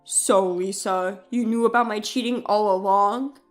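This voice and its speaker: A woman's voice: medium pitch, fairly even intonation, a little slow, faint music behind, close to an everyday voice. A woman voicing a defensive cheating husband in a scripted story, pleading and condescending, with emphatic delivery. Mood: stunned.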